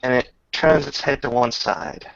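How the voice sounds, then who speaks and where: A man narrating a tabletop roleplaying game as game master, descriptive and measured, often pausing mid-sentence. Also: mid-range voice, expressive, creaky, fairly bright tone. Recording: dull lo-fi recording.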